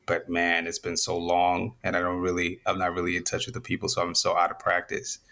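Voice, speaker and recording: A young man from Zambia, a guest in a casual interview, talking in a relaxed, rambling way with many restarts. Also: low-pitched, brisk, creaky. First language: Nyanja.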